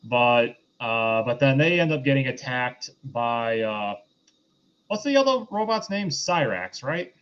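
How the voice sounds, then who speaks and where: A man with an average-pitched voice hosts a casual review chat. He recaps in a halting way, backtracking as he goes. The sound is slightly dull and lo-fi. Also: very animated, fairly bright tone, clean tone. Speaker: host of a film review show.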